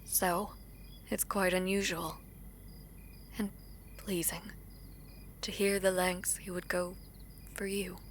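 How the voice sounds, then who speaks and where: A woman playing a guarded, proud princess in a fantasy audio drama, speaking formally and deliberately with breathy phrase endings. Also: on the low side, flat delivery, a little hiss or hum. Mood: guarded, reflective. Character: guarded, regal princess, a proud and protective sister.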